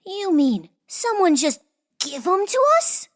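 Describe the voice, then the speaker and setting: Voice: very high-pitched, sing-song, slow, full character voice. A performer playing an eager, innocent young frontier boy in an old-time radio style drama. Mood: excited wonder.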